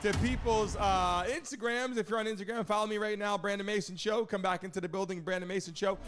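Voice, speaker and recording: Excitable high-pitched man hosting a livestream. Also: fast talker. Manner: excitable, chummy, street-casual slang. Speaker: host of a live sports stream. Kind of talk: hyped livestream chat with viewers. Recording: music playing behind the voice.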